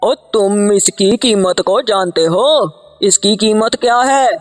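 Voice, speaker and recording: Woman as a sly greedy shopkeeper, slow-spoken. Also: mid-range voice, long reverb tail, faint music behind, natural voice. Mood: cagey, greedy. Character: greedy, sly male shopkeeper buying animals.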